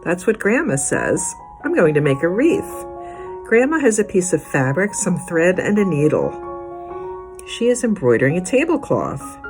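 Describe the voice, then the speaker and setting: Expressive woman reading a picture book aloud. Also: fairly low pitch, normal speed, music in the background. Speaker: youth services librarian reading to children.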